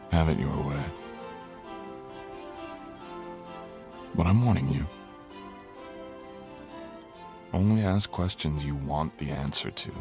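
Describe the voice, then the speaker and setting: A man playing a courtly, teasing, tender dragon king in human form, speaking intimately as a lover in an ASMR roleplay. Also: a bass voice. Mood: earnest, guarded. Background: music.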